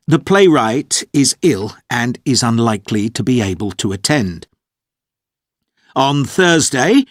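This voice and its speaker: An organised tour leader, courteous and measured, with a fairly high, lively voice. He explains arrangements politely in a scripted briefing, recorded close and dry. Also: no echo. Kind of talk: scripted informational monologue for an English listening test.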